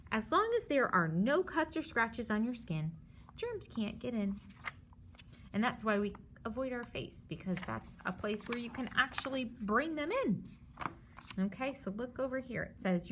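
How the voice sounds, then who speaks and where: A woman's voice: fairly high pitch, a bit bright, a little hiss or hum. A woman teacher reading a children's book aloud, stopping to explain things simply and reassure her young listeners.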